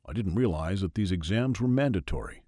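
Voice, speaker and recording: A man doing a low, weary office worker. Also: lively intonation, a little fast, warm tone, no echo, studio quality. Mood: wary.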